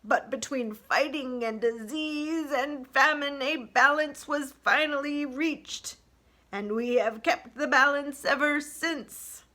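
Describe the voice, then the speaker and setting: A solemn, ancient male turtle from a storybook, played by a woman. He speaks very slowly and weightily, drawing out words in a raised, forceful voice. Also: sits high, voice pushed up, full character voice. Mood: grave. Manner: slow, weighty, drawn-out delivery. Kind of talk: children's storybook character voice.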